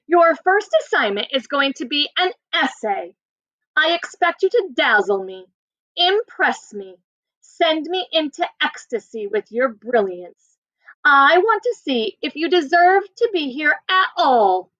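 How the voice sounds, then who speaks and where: A woman's voice: sits high, sing-song, unhurried, sharp tone, no room sound, voice pushed up, heavily acted. A woman voicing a stern, intimidating teacher who barks her commands, in a dry, slightly muffled recording. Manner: snarling, barking commands.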